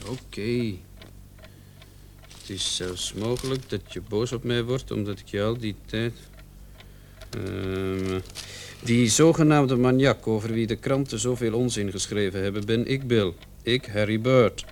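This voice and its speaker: An actor in a radio drama playing a composed policeman who reads a killer's confession aloud in a measured, deliberate way. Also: lowish voice, darkish tone, a little hiss or hum. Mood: measured, grave. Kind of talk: radio drama acting, reading a letter aloud.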